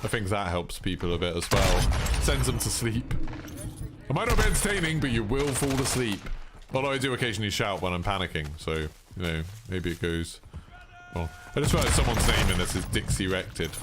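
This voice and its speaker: A man with a British accent chatting casually and calmly to viewers while playing a game, with a deep voice. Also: brisk, heavy background noise. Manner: laid-back, chilled delivery. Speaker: gaming streamer. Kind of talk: casual live-stream chat over gameplay. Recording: gameplay gunfire and clicks behind.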